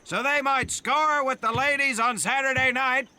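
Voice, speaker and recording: An actor playing a pompous, sardonic Marine drill instructor who declaims like a preacher and barks orders in a war game. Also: male voice, extremely high pitch, fairly even intonation, moderate pace, bright, forceful tone, a little hiss or hum, exaggerated voice. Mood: mock solemn. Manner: declamatory mock-biblical oratory, barking orders. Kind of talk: theatrical military speech in a war game.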